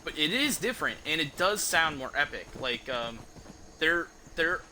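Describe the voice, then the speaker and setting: Guy streaming a game, super bright punchy voice, casual n sweary, bit of game noise behind him. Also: on the high side, big pitch swings, a little slow, low-quality recording. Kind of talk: casual live gameplay commentary.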